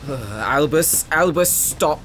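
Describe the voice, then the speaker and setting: A man's voice: a little high, lively intonation, slow, a bit bright, soft background music. A man playing an anxious, earnest, innocent young sidekick in an anime-style audio drama. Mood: exasperated, pleading.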